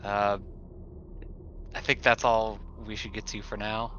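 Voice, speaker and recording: A man's voice: fairly low pitch, little pitch movement, a little slow, a bit bright, dull audio, slight background noise. A man playing a tabletop game, casually role-playing his character.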